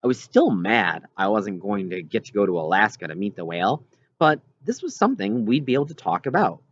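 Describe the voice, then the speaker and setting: A man reading a children's novel aloud as scripted narration, with expressive storytelling delivery. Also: lowish voice, fairly quick, highs cut off. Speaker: audiobook reader.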